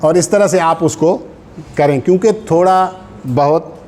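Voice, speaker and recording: Mellow, higher-pitched Pakistani male lecturer. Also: a little slow. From Sindh, Pakistan. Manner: instructive, checks understanding with short tags; switches into English terms and phrases. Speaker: law exam coach. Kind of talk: informal classroom lecture with exam advice.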